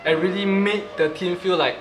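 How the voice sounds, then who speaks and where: A man's voice: high voice, steady tone, normal speed. A man in his twenties with a Malaysian English accent, a team captain chatting playfully and laughing easily in an interview. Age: 20s. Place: living room with sofas, indoors. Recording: roomy room with background music.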